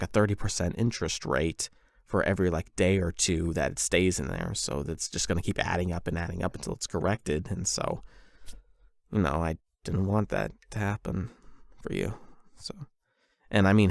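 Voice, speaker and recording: A man's voice: low voice, gravelly, no room sound, clean studio sound. A man acting a shy, flustered young man confessing love to an online friend in a hesitant, rambling ASMR boyfriend roleplay. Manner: hesitant, rambling, nervous chuckles.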